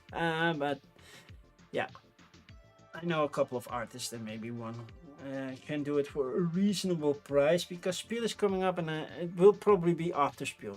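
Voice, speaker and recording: A man with a Dutch accent, a native Dutch speaker, chatting casually and thinking aloud as a livestream host. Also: fairly high pitch. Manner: rambling, informal, thinking aloud. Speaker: livestream host reviewing board game crowdfunding.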